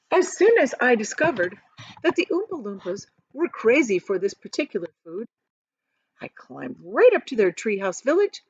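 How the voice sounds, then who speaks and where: A woman voicing an eccentric, proud and excitable male chocolate factory owner in a theatrical, emphatic storybook manner. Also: on the high side, breathy edge, dry recording, muffled recording, full character voice. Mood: eager, persuasive. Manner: theatrical, emphatic, stretching key words.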